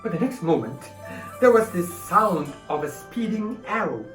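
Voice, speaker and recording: Guy presenting a story, unhurried and deliberate, roomy echo, faint music. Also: high voice, big pitch swings, far from the mic. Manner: deliberate, instructing. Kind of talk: storytelling and audience address. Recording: echoey room, off mic, music behind.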